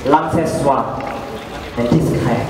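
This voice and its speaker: A man's voice: mid-range voice, slow pace, gravelly, over music. A young man with an Indonesian accent playing a worldly, resigned Roman patrician in an amateur French-language dramatic stage reading. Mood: cynical. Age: young adult. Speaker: student actor. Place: indoor performance hall, recorded from a distance. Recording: very echoey hall from far off, audience murmur.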